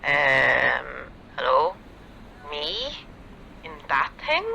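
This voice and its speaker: Male actor doing a sharp, bright, snooty female assassin with a gravelly edge. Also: medium pitch, animated, very slow, a little hiss or hum, exaggerated voice, poor audio. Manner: snooty, dismissive. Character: haughty young woman assassin, prickly and proud.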